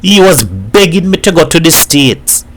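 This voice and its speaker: A man's voice: on the high side, very animated, a little slow, a little hiss or hum, pitched above the performer's own voice. A man voicing a brash, proud Trinidadian man who apes Americans, speaking emphatically and boastfully.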